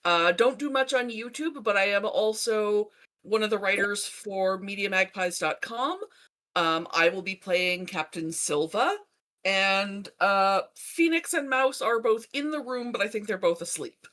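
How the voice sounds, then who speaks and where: A woman's voice: mid-range voice, a little slow, a bit bright. A woman casually introducing herself as a player on a tabletop game stream.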